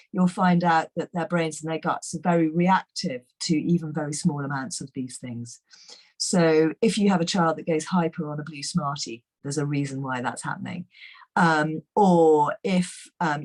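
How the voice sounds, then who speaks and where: A middle-aged woman speaks in a low, hoarse, croaky voice. She gives a chatty, enthusiastic webinar talk, dotted with fillers. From England. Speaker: guest nutrition expert.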